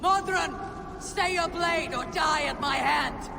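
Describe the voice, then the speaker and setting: An actress playing a stern, resolute Viking warrior in a video game cutscene, grave, blunt and commanding. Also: female voice, high voice, slow pace, gravelly, background noise, heavily acted.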